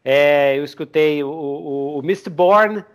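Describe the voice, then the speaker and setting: Guy hosting a podcast, pretty high voice, slangy expressive casual chat. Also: slow. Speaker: podcast host interviewing guests.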